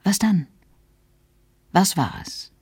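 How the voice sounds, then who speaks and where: An actor playing an insistent male questioner with a husky voice in a radio drama. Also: high voice, lively intonation, slow pace, a little mellow. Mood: probing.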